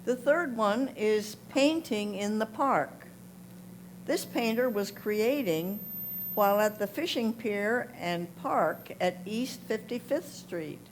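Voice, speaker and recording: A woman reads photo captions and notes at an unhurried, slow pace. Her voice sits at a middle pitch, with a clear noisy hum behind it. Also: expressive. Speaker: reader of photographers' comments. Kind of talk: reading prepared notes aloud. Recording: clear hum and background noise.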